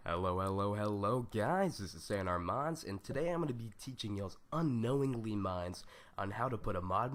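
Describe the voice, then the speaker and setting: Raspy, stuffed-up young man, rambling casually. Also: fairly low pitch. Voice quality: scratchy. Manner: loose, rambling, with many ums and slang. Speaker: gaming tutorial youtuber. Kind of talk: casual screen-recorded tutorial.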